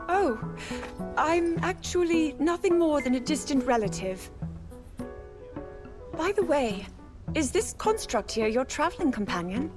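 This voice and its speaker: A woman playing a gentle young princess in a video game, speaking politely and formally with measured earnestness. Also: high-pitched, expressive, over music. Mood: courteous. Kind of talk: video game cutscene acting.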